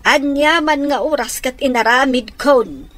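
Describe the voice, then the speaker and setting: A woman's voice: sits high, a bit bright. An actress playing a plaintive middle-aged village mother in a radio comedy-drama, drawing out her words with sighs and exclamations. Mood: rueful. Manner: drawn-out, sighing, exclamatory.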